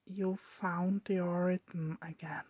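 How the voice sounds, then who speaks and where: A woman voicing a calm, reassuring, patient therapist in a hushed, measured ASMR roleplay whisper. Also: on the low side, no echo.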